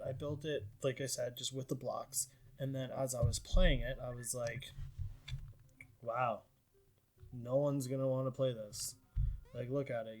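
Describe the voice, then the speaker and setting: A man from Canada walks through his indie game in a casual devlog voice, mid-pitched and unforced. He rambles and hedges with fillers and pokes gentle fun at his own work. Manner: rambling, self-deprecating, frequent fillers.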